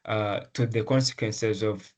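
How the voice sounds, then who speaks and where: A young man from Rwanda, a medical student, answering interview questions in an earnest, measured way with frequent pauses and restarts. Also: on the low side, steady tone, a little mellow. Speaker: medical student, essay prize winner.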